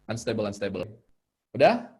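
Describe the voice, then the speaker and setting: A man's voice: fairly low pitch, big pitch swings, normal speed, darkish tone. A man talking casually in an online workshop, explaining informally as a storyteller giving tips. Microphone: video call. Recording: noisy online video call.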